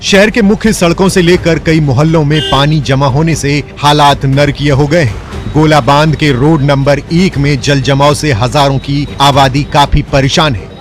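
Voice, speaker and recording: A man reading scripted news narration as a voice-over reporter in a formal manner. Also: a little high.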